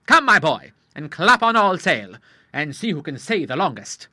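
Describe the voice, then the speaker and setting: A man's voice: high voice, sing-song, fairly quick, no echo, clean studio sound, pitched above the performer's own voice, heavily acted. A man doing a blustering old sea admiral. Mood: triumphant. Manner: gruff nautical talk, loud and emphatic. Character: hearty elderly male retired admiral, blunt. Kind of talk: audiobook character voice.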